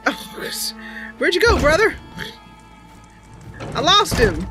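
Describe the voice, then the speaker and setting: A woman's voice: high voice, very animated, slow, sharp tone, faint music behind. A woman giving casual, playful commentary as host of a gaming stream. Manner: giggly, playful. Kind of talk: casual live gameplay commentary.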